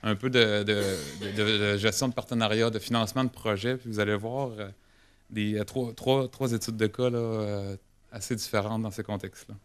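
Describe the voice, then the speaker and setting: Even-toned Québécois man, hesitant presenter. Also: lowish voice, normal speed, clear, resonant tone. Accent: Québécois French. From Quebec, Canada. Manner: hesitant, frequent restarts and self-corrections. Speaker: government forestry specialist presenting. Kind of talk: informal conference presentation.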